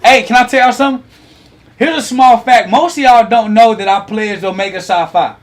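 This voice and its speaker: A man in his thirties hosting a lively talk, teasing and quizzing his online audience. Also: extremely high pitch, moderate pace. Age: 30s. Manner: animated, teasing, asks the audience questions. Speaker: host.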